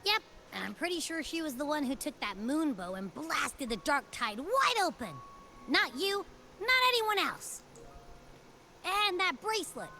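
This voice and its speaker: High, animated, chatty young girl companion. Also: measured pace, a little hiss or hum, exaggerated voice. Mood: insistent, earnest. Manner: casual, slangy, chatty, expressive. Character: peppy, loyal young girl companion, casual and talkative. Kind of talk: video game story dialogue.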